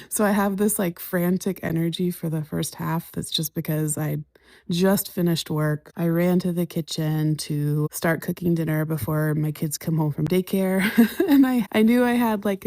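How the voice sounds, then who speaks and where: A woman in her thirties from the United States chats through a solo podcast episode from her living room couch, laughing often and keeping an upbeat tone. Her voice sits low and gravelly, with a warm, mellow color. She speaks into her phone's voice memos, and the sound is close and dry, with no room echo. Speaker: podcast host. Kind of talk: casual solo podcast chat. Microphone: phone voice memo.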